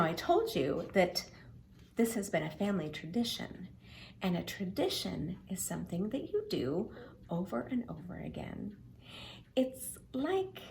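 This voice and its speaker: A middle-aged woman reading to children in an expressive, simple, explanatory way. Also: mid-range voice, creaky, a little hiss or hum. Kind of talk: children's read-aloud with friendly introduction.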